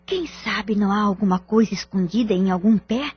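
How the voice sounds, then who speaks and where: A woman playing a composed, firm middle-aged mother in an audio drama, speaking in a deliberate, theatrical way. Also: medium pitch, slightly rough. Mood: puzzled, weary. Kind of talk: Portuguese-language audio drama acting. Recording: muffled, lo-fi audio drama track.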